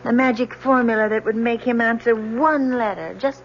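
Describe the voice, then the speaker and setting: Woman playing a listless young daughter, highish voice. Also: fairly even intonation, normal speed, close to an everyday voice. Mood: despondent, wistful. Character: listless, lovelorn, sleepless young daughter of a professor. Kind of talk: radio drama acting. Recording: muffled old radio recording, faint noise.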